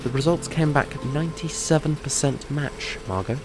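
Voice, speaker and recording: An actor playing a brash, teasing monster hunter and comic book nerd in an audio drama. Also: male voice, on the high side, moderate pace, music in the background, lightly acted. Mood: storytelling, smug.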